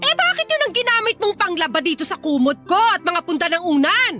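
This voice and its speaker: A woman's voice: very high voice, expressive, a little fast, music in the background. An actress playing a fussy, domineering older mother-in-law in a radio drama, scolding and complaining. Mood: irritated, scolding. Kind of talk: Filipino radio drama acting.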